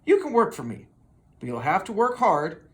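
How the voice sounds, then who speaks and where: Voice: mid-range voice, huge pitch swings, moderate pace. A storybook reader voicing a stern male boss, firm and commanding. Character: stern male boss giving orders to a horse.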